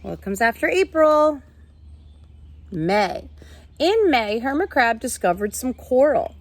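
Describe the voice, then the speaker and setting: Female primary-style teacher, fairly high voice, storytelling for young children with simple, instructive explanations. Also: big pitch swings, takes it slowly. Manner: instructive, addresses young listeners with simple questions. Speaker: teacher reading aloud.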